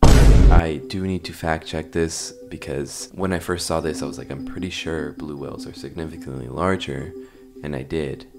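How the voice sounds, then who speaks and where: Deep voiced guy doing scripted horror video commentary, talks casually to viewers, music going behind him. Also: fairly even intonation, moderate pace. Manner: addresses viewers informally. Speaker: host of an analog horror explainer video.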